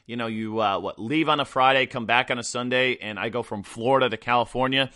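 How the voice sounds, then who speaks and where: A man hosting a podcast in casual chat, his voice hoarse and rough, rambling with many fillers. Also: medium pitch, a little fast, bright, forceful tone. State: hoarse after a weekend of travel and partying. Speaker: podcast host.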